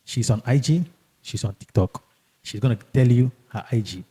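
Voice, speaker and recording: A man hosting a podcast in casual, halting and emphatic speech, often repeating himself. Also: mid-range voice, dark tone, husky. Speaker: podcast host. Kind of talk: casual podcast introduction and interview chat.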